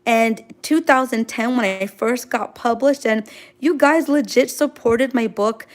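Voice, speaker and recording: A woman's voice: a little high, measured pace, a little hiss or hum. A woman from Queens, chatting casually and effusively on a livestream as she answers viewer comments, full of exclamations. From Queens, New York City, United States. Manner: gushing, effusive, sprinkled with exclamations. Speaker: manga artist hosting a drawing livestream.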